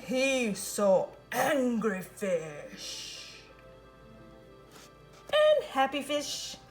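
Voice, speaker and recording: A woman reading a children's picture book aloud in an expressive, sing-song storytelling manner. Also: high voice, extremely slow, faint music behind. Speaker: storybook reader.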